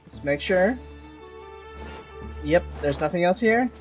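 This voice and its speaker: A man streams a video game and comments casually as he plays. His voice is high for a man. Faint game music runs behind him. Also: animated, slow pace.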